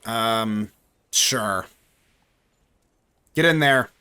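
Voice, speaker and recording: A man's voice: lowish voice, very slow, clear, resonant tone. A young man giving casual live commentary on a card game, joking and talking to himself and his chat. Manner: animated, talks to himself and chat, jokey. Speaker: gaming streamer.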